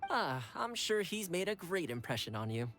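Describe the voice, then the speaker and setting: A man's voice: high voice, big pitch swings, normal speed, fairly bright tone, faint music behind, heavily acted. A man voicing a retainer with a wry, teasing manner. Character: male retainer, playful and teasing.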